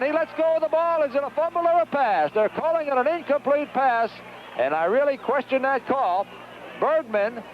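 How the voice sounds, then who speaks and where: An American man calls a football game live on the radio in a high-pitched voice. His phrases are short, clipped and urgent as he follows each play. The sound is a muffled 1970s broadcast with clear background noise. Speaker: play-by-play sports commentator. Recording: dull, noisy 1970s radio broadcast recording.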